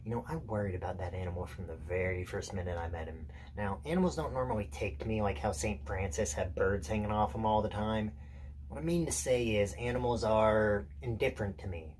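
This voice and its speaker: A man acting out a theatrical monologue as an intense, unsettled loner, confiding and deliberate, pausing and correcting himself. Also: lowish voice, a little mellow, slight background noise, close to an everyday voice, low-quality recording. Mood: confiding, edgy. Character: intense, unsettled male loner telling a rambling story.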